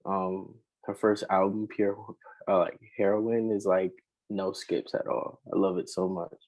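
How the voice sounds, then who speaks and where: A man, a rapper and singer, talking casually in an interview, relaxed and rambling with many fillers. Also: sits low, measured pace, muffled recording.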